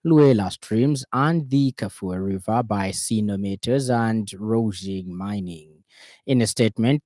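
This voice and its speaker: Male radio news reader, Zambian accent, low mellow voice, dry studio sound, formal bulletin script. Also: no echo.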